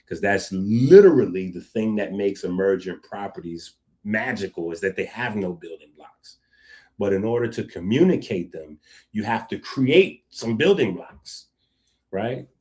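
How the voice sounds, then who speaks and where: Male host, low-pitched, casual riffing monologue with laughing asides. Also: a little slow. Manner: animated, laughing asides, conversational fillers.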